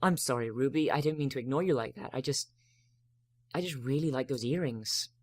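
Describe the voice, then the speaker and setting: A man's voice: mid-range voice, a little fast, dry recording, clean studio sound, lightly acted. A man voicing an anxious, bookish young female unicorn, earnest and hesitant, in a fantasy story reading. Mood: apologetic. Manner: earnest, hesitant, self-doubting.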